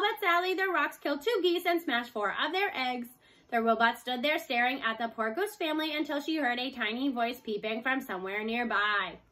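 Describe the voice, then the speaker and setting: A woman with a fairly high voice reads a children's book aloud. She narrates the scripted story as a storybook reader would for young listeners. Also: sharp tone.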